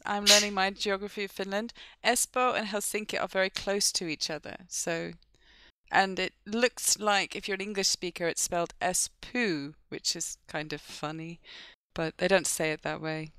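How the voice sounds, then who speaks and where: A woman's voice: medium pitch, normal speed, sharp tone, clean tone, no room sound. A woman hosting an online interview, chatty and friendly with frequent fillers. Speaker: interviewer, host. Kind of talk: podcast interview.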